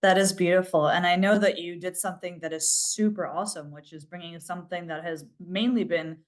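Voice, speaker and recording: A woman's voice: fairly low pitch, fairly even intonation, brisk. A woman hosting an interview podcast in an upbeat, enthusiastic and emphatic way. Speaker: podcast host.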